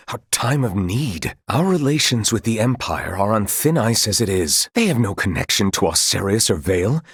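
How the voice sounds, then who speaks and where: A grave military commander from a fantasy game. His voice is very low and notably husky, and he speaks with formal, old-fashioned courtesy and a dutiful, measured bearing. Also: dry recording, clean studio sound. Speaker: video game voice actor. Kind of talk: scripted video game dialogue.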